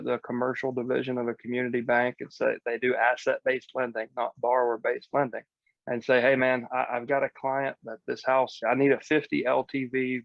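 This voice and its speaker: A man's voice: medium pitch, vocal fry, no room sound, highs cut off. A man giving casual, blunt coaching as a real estate podcast host, explaining step by step in informal, mildly profane language.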